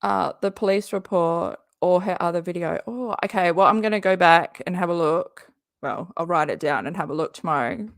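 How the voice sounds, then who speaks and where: A woman hosting a casual live stream, chatty and jokey, who talks straight to her viewers and is hoarse from often losing her voice. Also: on the low side, normal speed, no room sound, studio quality. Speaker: YouTube live-stream host and comedian.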